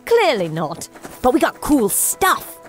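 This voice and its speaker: A woman voicing a small, sassy, excitable young companion in a comedic fantasy audio drama, cheeky in manner. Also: fairly high pitch, takes it slowly, soft background music, full character voice. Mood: cheerful, cheeky. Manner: cheeky, bickering.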